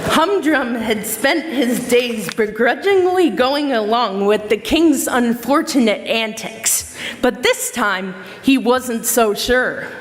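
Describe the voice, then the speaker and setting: Unhurried woman narrator in an echoey hall. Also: a little high, expressive. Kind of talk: scripted storybook narration.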